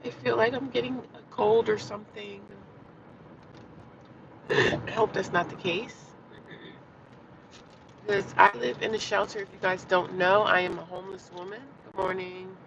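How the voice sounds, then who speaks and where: A woman's voice: medium pitch, fairly even intonation, moderate pace, gravelly, long pauses. A congested, stuffy-nosed woman from the United States chatting casually with her viewers, repeating herself and greeting them as she starts her day. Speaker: vlogger. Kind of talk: casual live-stream chat to viewers. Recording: dull lo-fi sound from a distance with loud outdoor traffic. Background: street and car noise.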